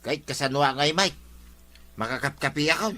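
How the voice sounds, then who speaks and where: A man's voice: medium pitch, brisk, bright, forceful tone, lightly acted. A man playing a complaining husband who craves his coffee, grumbling in a comedy drama. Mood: exasperated.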